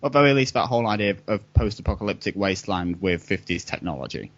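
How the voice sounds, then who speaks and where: Lowish, lively male voice, casual. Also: quick pace, highs cut off. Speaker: podcast panelist. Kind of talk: casual chat.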